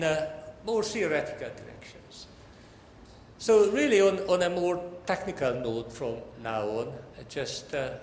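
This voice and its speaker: Older hungarian guy giving a formal academic talk, measured with pauses, big echoey hall, bit of background noise. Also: fairly high pitch. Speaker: professor giving an inaugural academy lecture. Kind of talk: formal academic lecture with personal reminiscence. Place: large lecture hall, talking to an audience.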